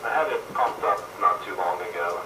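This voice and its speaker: A man's voice: low voice, normal speed. A man chatting casually on air as a participant in a radio net, heard over a two-way radio. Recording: very noisy, distant, echoey radio reception.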